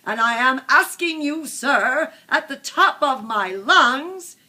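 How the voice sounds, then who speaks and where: A woman voicing a small, old, indignant male forest creature from a storybook, with a sharp, bossy, shouting delivery. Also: sits high, animated, slow, pitched above the performer's own voice, heavily acted. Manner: shouting, demanding.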